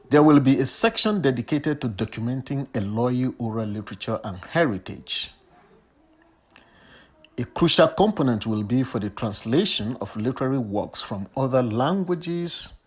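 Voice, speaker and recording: A man's voice: mid-range voice, slightly rough. A man in his fifties from Nigeria, a native Eloyi speaker with a Nigerian accent, giving a prepared academic statement. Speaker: professor of literature.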